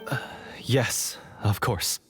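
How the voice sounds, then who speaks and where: A man's voice: extremely slow, faint music behind. A man playing a repentant hunter in a video game, humble, earnest and formal, eager to redeem himself. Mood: humbled, grateful.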